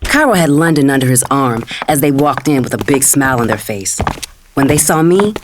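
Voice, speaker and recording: An actress plays a middle-aged mother narrating her family drama. Her voice is deep for a woman and very expressive, with an indignant, protective temper. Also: brisk, background noise. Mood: tense. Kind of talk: first-person dramatized audiobook narration.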